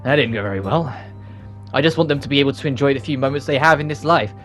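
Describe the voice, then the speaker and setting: A man's voice: medium pitch, fast talker, a bit bright. Man reading game story aloud over music. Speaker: let's play host reading game dialogue aloud. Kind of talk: reading visual novel dialogue aloud with casual commentary.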